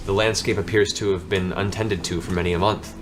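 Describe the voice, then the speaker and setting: A man narrating a tabletop fantasy game as game master, improvising theatrical descriptions with deliberate pauses. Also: low-pitched, fairly even intonation. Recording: music bed behind the voice.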